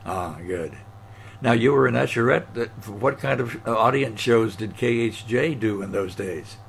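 A man's voice: mid-range voice, normal speed, gravelly, slight background noise. A man conducting an oral history interview, asking attentive follow-up questions.

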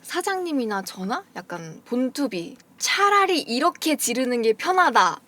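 A woman's voice: on the high side, sing-song, measured pace, bright, forceful tone, slight background noise. A young female voice actor in a casual chat, friendly and agreeable, mostly giving short answers.

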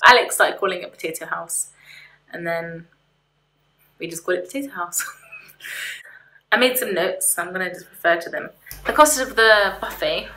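A woman's voice: mid-range voice, pushed and bright. A young woman vlogger chatting casually to camera in an informal, slightly hesitant way, giving a review. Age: young adult. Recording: roomy echo, faint background hiss.

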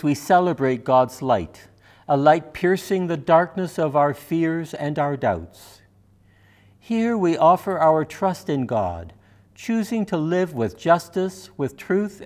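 A man in his seventies reading liturgy and prayers as a minister in a measured, formal, reverent manner. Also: on the high side, animated, darkish tone. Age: 70s. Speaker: minister leading worship. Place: church sanctuary, indoors.

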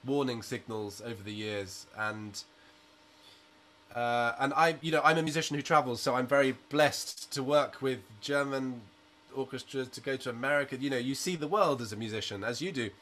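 Man from britain, thirties, musician guest, mid-pitched voice, earnest and outspoken, laptop webcam in a small attic room. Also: moderate pace, a bit bright. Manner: earnest and outspoken, with you-knows. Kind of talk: video call interview. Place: small home room under a sloped roof. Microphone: laptop webcam on a video call.

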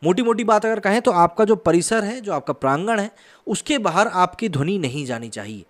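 A man's voice: sits high, expressive, fairly quick. A man in his thirties from India presenting explanatory news commentary as a host, speaking into a close studio microphone. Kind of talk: news explainer commentary, part conversational, part scripted narration. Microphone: close desk microphone on a boom arm.

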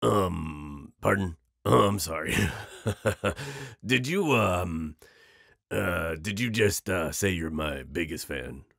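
A man playing a cocky, sarcastic werewolf outlaw in an audio roleplay, mocking and flippant with gravelly, hesitant asides. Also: low voice, lively intonation, slow pace, a bit bright, no room sound, studio quality, exaggerated voice. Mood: nervous, sarcastic.

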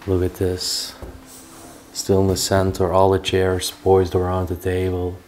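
A man's voice: low voice, monotone, unhurried, a little mellow, soft background music. A young man exploring an abandoned castle, pointing out old objects to viewers as he walks through the rooms. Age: young adult. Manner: marveling, points things out to viewers. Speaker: urban explorer vlogger. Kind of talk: casual walkthrough commentary. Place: large abandoned castle indoors.

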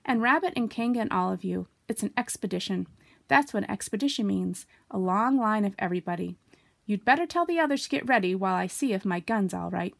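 A woman's voice: mid-range voice, expressive, brisk, vocal fry, no room sound. A woman voicing a confident, carefree, fondly bossy young boy in a storybook, explaining things breezily. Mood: breezy, instructing.

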